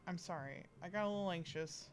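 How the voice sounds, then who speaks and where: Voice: medium pitch, brisk, a little hiss or hum. A game streamer giving casual live commentary, informally speaking up as the player character.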